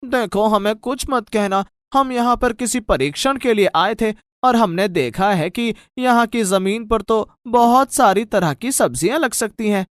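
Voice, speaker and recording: A man voicing a tiny, nervous magical dwarf from another world, pleading and earnest, in an animated moral story. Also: sits high, a little slow, few pauses, dry recording, clean studio sound.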